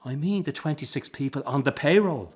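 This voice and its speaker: An actor playing a driven, frustrated middle-aged son with an Irish accent, in a naturalistic radio drama. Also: male voice, on the high side, animated, a little fast, darkish tone, slightly rough. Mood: frustrated, forceful. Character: driven, frustrated son running the struggling family circus.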